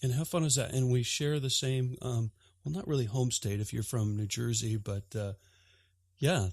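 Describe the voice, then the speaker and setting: A man's voice: medium pitch, steady tone, moderate pace, dry recording, clean studio sound. A man hosting a podcast, introducing guests and asking friendly, upbeat interview questions. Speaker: podcast host.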